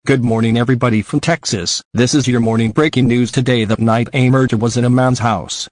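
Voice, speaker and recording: A man playing a male morning news anchor reads a scripted bulletin at a medium pitch. The sound is dry and close, and the delivery is formal. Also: no room sound, natural voice.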